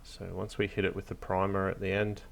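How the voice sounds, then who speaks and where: A man hosting a hobby tutorial, narrating casually off the cuff in a hesitant, low-key, encouraging way. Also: little pitch movement, a little fast, vocal fry, a little hiss or hum. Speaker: hobby craft tutorial host.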